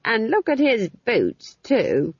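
Woman doing a slow, creaky old nanny witch. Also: fairly high pitch, wildly swooping pitch, darkish tone, dry recording, dull audio, voice pushed up, full character voice. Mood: critical. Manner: slow, drawn-out, considering.

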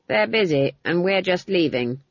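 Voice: medium pitch, expressive, moderate pace, no room sound, lo-fi sound. A narrator voicing a blunt, stern old witch woman with a dry, commanding manner. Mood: dry, brisk.

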